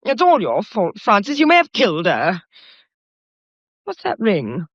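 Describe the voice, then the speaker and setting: An actress playing a fretful, nervy wife in a period drama, complaining and accusing in a melodramatic way. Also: female voice, medium pitch, huge pitch swings, measured pace, no room sound, lo-fi sound, heavily acted. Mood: accusing, aggrieved. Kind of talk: period radio drama acting.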